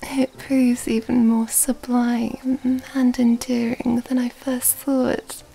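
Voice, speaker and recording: A woman playing an elegant, seductive female vampire in an ASMR roleplay, soft-spoken and breathy, often whispering in a genteel, flirtatious way. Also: fairly high pitch, little pitch movement, slow pace, exaggerated voice. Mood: dreamy, admiring. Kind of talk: ASMR roleplay, intimate scripted monologue. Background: background music.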